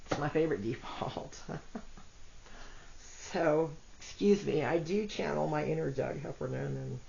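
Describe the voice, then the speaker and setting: A woman with a congested, rough voice gives a chatty tarot reading, talking to her cards and her viewers. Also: low-pitched, a little mellow. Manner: chatty, self-deprecating, talks to cards and viewers. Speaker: tarot reader. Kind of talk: casual solo tarot reading. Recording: dull lo-fi audio with faint noise.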